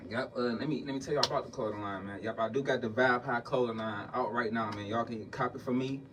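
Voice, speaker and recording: A man's voice: mid-range voice, fairly even intonation, quick pace, recorded from a distance, background noise. A man from Chicago and Detroit, answering interview questions in a laid-back, slangy way and repeating himself. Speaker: rapper and podcast guest. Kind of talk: casual interview.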